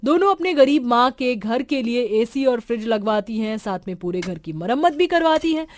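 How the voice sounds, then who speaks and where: High-voiced woman narrating a dramatic story. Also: expressive, moderate pace. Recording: music behind the voice. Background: background music.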